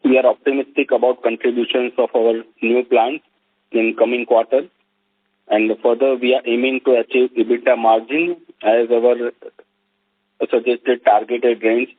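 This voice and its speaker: Tinny narrowband phone audio, Indian-accented man, flat intonation, formal financial presentation, short read-out phrases. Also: medium pitch, normal speed. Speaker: company finance executive. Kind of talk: prepared financial results presentation on an earnings call. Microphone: telephone conference call.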